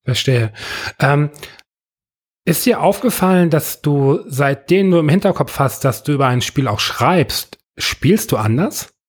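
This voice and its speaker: A man interviewing a guest in a relaxed conversation, pausing often with fillers and restarting his sentences. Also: fairly high pitch, husky. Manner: hesitant, many fillers and restarts. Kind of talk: casual interview.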